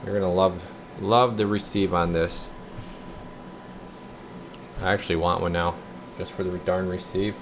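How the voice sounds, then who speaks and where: A man's voice: sits low, fairly even intonation, measured pace, long pauses, slight background noise. A man giving a casual, folksy hands-on demonstration as a repair technician, sometimes muttering to himself as he works. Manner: informal, folksy, muttering to himself while working. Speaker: repair technician presenting a bench test video. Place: indoor radio repair workshop.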